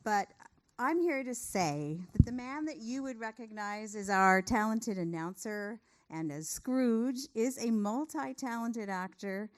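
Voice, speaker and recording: Higher-pitched woman giving a thank-you speech. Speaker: theater producer. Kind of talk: curtain speech of thanks to cast and crew.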